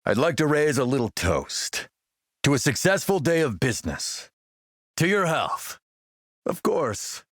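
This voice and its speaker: A man playing a smooth, menacing military warlord and arms dealer in a video game, suave, commanding and measured. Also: fairly high pitch, sing-song, no room sound, studio quality. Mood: cordial toast. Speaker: villain character in an action role-playing game. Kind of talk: acted video game dialogue.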